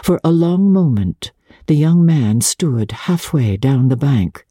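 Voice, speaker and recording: A woman gives a dramatic reading of literary prose in a mellow, husky voice with expressive, swooping pitch. Her delivery is measured and theatrical, shaped by deliberate pauses. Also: dry recording, studio quality. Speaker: narrator, audiobook reader.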